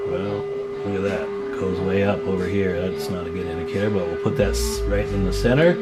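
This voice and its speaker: A man's voice: sits low, brisk, loud music behind the voice. An older man in his sixties, plain-spoken and folksy, giving a casual, rambling hands-on demonstration as the host. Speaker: host, radio repair hobbyist.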